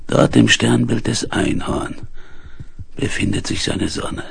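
An actor playing a dying, delirious space explorer in a radio play, halting and weak, with breath sinking into whispers. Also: male voice, medium pitch, gravelly. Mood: feverish, wistful. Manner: slow, halting, laboured delivery. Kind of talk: 1970s German radio play acting, dramatic monologue. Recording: hissy, humming 1970s vinyl recording.

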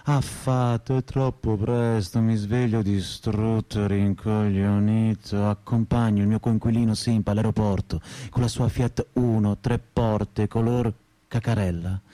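An actor playing a weary, crude and wryly disillusioned working man in a deadpan radio drama monologue. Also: lowish voice, measured pace, no echo, close to an everyday voice. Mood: groggy, grumbling. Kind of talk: theatrical first-person monologue, radio drama.

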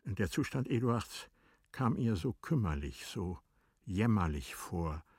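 A man narrating a literary radio drama in a measured, composed manner. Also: fairly low pitch, very animated, a little mellow, slightly rough, no echo, studio quality.

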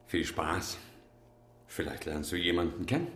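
An actor playing a brisk, dismissive hotel co-worker who speaks to the maid in short, offhand lines. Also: male voice, low voice, a bit bright, slightly rough, exaggerated voice. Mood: curt. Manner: curt, offhand. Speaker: colleague of the maid. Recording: noisy background ambience.

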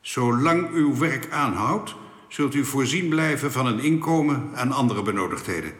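An actor playing a formal, unbending alien councillor in a radio drama, speaking in measured, authoritative official pronouncements. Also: male voice, medium pitch, expressive, fairly bright tone, natural voice. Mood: formal, cool. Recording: echoey hall with long reverb.

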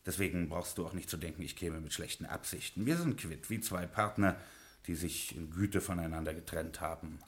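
A smooth bootlegger boss in an old radio play, lowish voice, sweet-talking. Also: male voice, fairly quick. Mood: persuasive. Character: smooth-talking male Prohibition gangster boss. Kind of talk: 1950s radio play acting.

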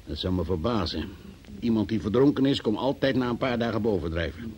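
An actor playing a formal, sceptical examining magistrate who questions insistently in a Dutch radio play. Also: male voice, fairly low pitch, lively intonation, brisk, a little mellow, lightly acted. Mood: probing, formal. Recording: noisy old radio play recording.

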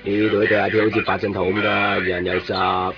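Actor as earnest low-voiced young Cantonese man. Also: little pitch movement, fairly quick, close to an everyday voice. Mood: resigned. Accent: Hong Kong Cantonese. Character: earnest, lovestruck young man courting a woman. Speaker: radio drama actor. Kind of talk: naturalistic 1990s radio drama dialogue and inner monologue. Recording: muffled 1990s radio drama, music underneath.

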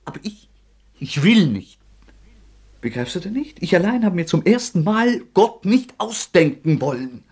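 An actor playing a tormented, defiant young man confessing to murder in an agitated, anguished German radio drama performance, with a husky voice that often breaks. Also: high voice, sing-song, darkish tone, heavily acted. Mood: tormented, scornful. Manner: agitated, anguished, halting outbursts.

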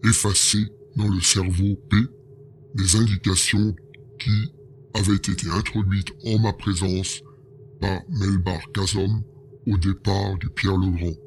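Low slow space agent, grave and measured, a man acting; faint hum. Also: level tone. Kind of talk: amateur audio drama acting.

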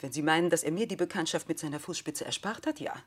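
An actress in a radio play playing a desperate, self-dramatising remarried widow, husky, solemn and insistent. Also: female voice, low-pitched, expressive, fast. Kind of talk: German radio play acting.

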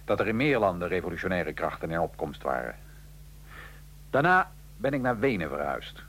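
Deep-voiced, wry Italian revolutionary prisoner. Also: male voice, big pitch swings, normal speed, fairly bright tone, a little hiss or hum, heavily acted. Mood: grave, wry recounting. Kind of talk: radio drama acting, interview-like storytelling.

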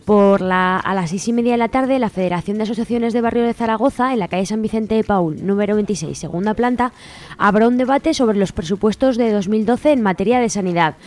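A woman's voice: medium pitch, a little fast, darkish tone. A woman with an accent from Spain, hosting a radio show and informally reading out event announcements. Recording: music playing behind the voice. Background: music.

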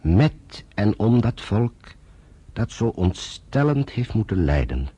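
An actor playing a solemn older retired British army general who narrates in a grave, measured way. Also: male voice, fairly low pitch, very animated, warm tone, breathy edge, heavily acted. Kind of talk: 1950s radio drama narration.

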